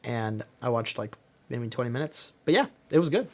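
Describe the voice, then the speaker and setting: A man's voice: medium pitch, animated, moderate pace. A man who hosts a podcast, reviewing films casually in a blunt, emphatic, sweary way. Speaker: podcast host. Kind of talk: casual film review chat.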